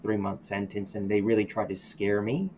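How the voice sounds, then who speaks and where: A middle-aged man, a podcast guest from the United States, talking candidly about his past in a reflective, halting way, often restarting and saying "you know.". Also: lowish voice, level tone, a little mellow, slight background noise. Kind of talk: candid personal storytelling.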